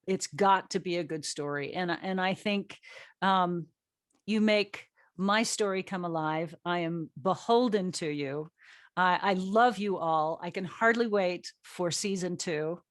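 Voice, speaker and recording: A woman's voice: medium pitch, no echo, clean studio sound. A woman hosting a casual chat with a cast, effusive and gushing as she talks. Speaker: host and writer of an audio drama.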